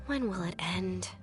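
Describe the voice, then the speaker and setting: Weary young game character, deadpan, unhurried, music behind. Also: female voice, mid-range voice. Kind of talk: video game voice acting.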